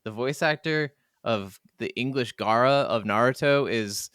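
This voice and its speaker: Male podcast co-host, smooth clear voice, enthusiastic and slangy with some swearing, dry close recording. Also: mid-range voice, measured pace, dry recording. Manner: excitable, slangy, profane. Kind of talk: casual enthusiastic chat. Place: remote recording from separate locations.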